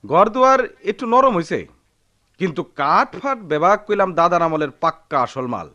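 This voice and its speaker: Hot-headed, blustering village man, bright forceful voice. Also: high voice, sing-song, normal speed, dull audio, full character voice. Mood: impatient. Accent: rural Bangladeshi Bengali. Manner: blustering, boastful, threatening. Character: hot-headed, defiant village man bent on selling his home. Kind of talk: Bengali radio drama acting.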